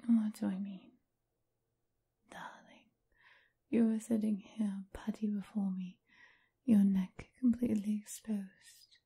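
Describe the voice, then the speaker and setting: A woman playing a teasing, doting, seductive vampire mistress in a whispered, breathy ASMR roleplay. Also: mid-range voice, fairly even intonation, mellow, heavily acted. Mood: playful, seductive. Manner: slow, teasing, affectionate purr.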